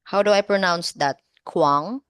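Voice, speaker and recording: A woman's voice: on the low side, measured pace, fairly bright tone, clean tone, no echo, studio quality. A woman in her twenties teaching a one-on-one online English lesson, explaining step by step and encouraging her student over a video call. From Philippines. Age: 20s.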